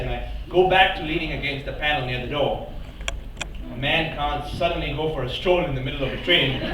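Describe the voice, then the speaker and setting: Guy doing a live story reading, animated and bright, big echoey theatre, mic way off. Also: fairly high pitch, far from the mic, slight background noise. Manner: expressive, playful first-person telling. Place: theatre stage.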